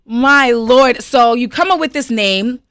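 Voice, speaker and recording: A woman's voice: fairly high pitch, a little slow, a bit bright, smooth, no echo. Inquisitive woman interviewer, dry close sound. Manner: inquisitive, informal, reactive. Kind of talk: casual conversational interview.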